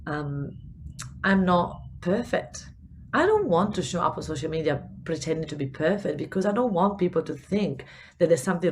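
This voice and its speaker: A middle-aged Italian woman with a mixed accent that carries a Spanish touch. Her voice sits on the low side, and she talks earnestly and encouragingly, doubling up words as she hunts for them. She is hosting a solo business podcast. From Italy. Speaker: podcast host, business coach.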